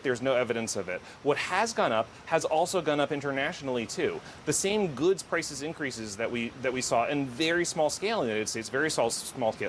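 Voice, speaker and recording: A man's voice: medium pitch, fairly bright tone, slight background noise. A man answering as an economic policy guest in a news interview, explaining and firmly rebutting.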